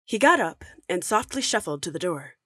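A woman narrating an audio drama as a storyteller, with measured, expressive scripted delivery. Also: on the low side, slightly rough, dry recording, clean studio sound, heavily acted. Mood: storytelling. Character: storyteller narrating a classic Christmas ghost tale.

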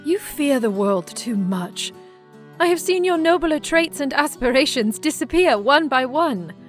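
A heartbroken but firm young sweetheart in a period audio drama. Her voice is on the high side, earnest and deliberate, with faint music. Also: lively intonation. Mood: sorrowful, resolute. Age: young adult. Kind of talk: audio play acting.

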